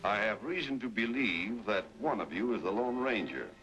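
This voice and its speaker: An actor playing a scheming, commanding western villain boss in an old-time radio serial style. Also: male voice, lowish voice, lively intonation, moderate pace, lightly acted, low-quality recording. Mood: cold threat. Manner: commanding, menacing, emphatic. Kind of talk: 1930s-40s western serial acting. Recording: vintage radio recording with music.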